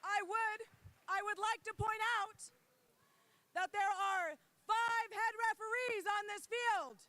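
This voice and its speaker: A hoarse man calls out to the teams at a live competition, his voice pitched extremely high and sharp-edged. He speaks very slowly and drily, as if rationing what voice he has left. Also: steady tone. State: losing his voice. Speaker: head referee announcing the match start. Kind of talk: live event announcing.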